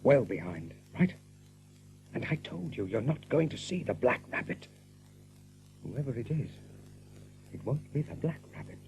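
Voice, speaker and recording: An actor voicing a steady, plain-spoken rabbit from a wandering band in an audio drama. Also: male voice, mid-range voice, a little slow, pauses often, background noise. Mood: firm, reassuring. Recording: music behind the voice.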